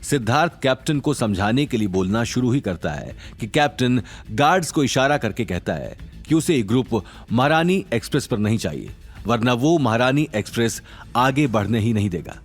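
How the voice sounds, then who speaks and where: A man's voice: medium pitch, expressive, moderate pace, faint music behind. A man narrating an audio drama story in a dramatic, scripted storytelling style.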